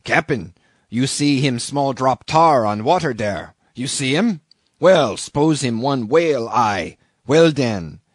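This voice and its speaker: A man voicing a calm foreign harpooneer in a storybook, speaking terse, matter-of-fact pidgin English. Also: lowish voice, very slow, few pauses, no room sound, full character voice. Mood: cool confidence. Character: calm, exotic foreign male harpooneer, broken speech.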